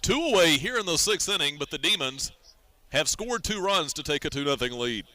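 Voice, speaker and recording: Male radio baseball announcer, middle-aged, fairly high and very bright, punchy voice. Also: lively intonation, a little fast. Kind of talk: live radio sports commentary.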